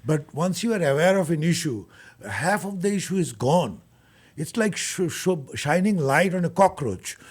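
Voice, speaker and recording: A man with a Bangladeshi accent speaks in a fairly high, noticeably husky voice. He explains things earnestly as an interview guest, stumbling and doubling back on his words as he goes. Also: expressive. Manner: halting, repeats words, earnest. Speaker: guest, charity furniture bank leader.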